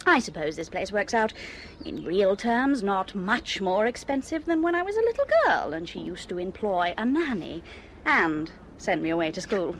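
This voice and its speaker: A bored, privileged young woman in a radio drama. Her pitch leaps about dramatically as she teases and mocks herself with theatrical flippancy. There is faint noise behind her voice. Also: sing-song, moderate pace, poor audio. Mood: wry, mischievous. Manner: self-mocking, theatrical, teasing.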